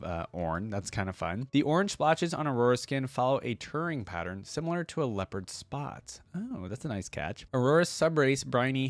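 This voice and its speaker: A man's voice: mid-range voice, dry recording. A man hosting a reaction video, reading aloud and adding informal, conversational comments.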